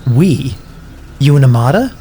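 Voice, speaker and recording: An actor playing a bitter, self-pitying wasteland survivor in an audio drama, sarcastic, profane and defensive. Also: male voice, medium pitch, very animated, a little slow, mellow, slight background noise. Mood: pleading, defensive.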